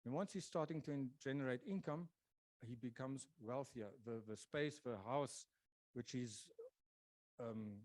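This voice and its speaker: A man presents a public lecture in a mellow, warm voice, thinking aloud as he goes and often pausing or restarting. The sound is dry and close, with little room around it. His pitch sits in an ordinary middle range. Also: dry recording. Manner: thoughtful, pausing with many fillers and restarts. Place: lecture venue.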